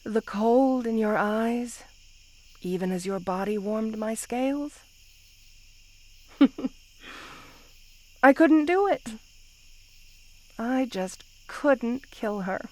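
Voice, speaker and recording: Woman voicing a yandere snake queen, lovesick, possessive, tender, higher-pitched, unhurried and lingering, intimate ASMR roleplay. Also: darkish tone. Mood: confiding, wistful. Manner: slow, tender, sighing, possessive delivery.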